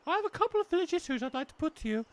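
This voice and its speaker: A woman's voice: high voice, very animated, very fast, no room sound. A woman playing an earnest, polite and wordy new-age village schoolmistress with an English accent in a comic audio drama.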